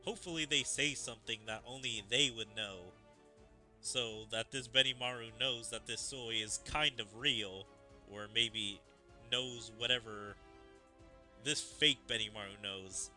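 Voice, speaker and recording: Bright-voiced male streamer musing over a game. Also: mid-range voice, a little slow. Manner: musing, casual with many "like" fillers. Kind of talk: gameplay commentary. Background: game music.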